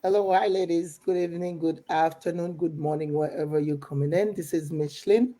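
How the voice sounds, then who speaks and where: A woman's voice: fairly low pitch, steady tone, brisk, dark tone. A middle-aged woman from Haiti with a Haitian accent, a coach chatting casually and encouragingly. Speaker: business coach and mentor. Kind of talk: casual motivational chat.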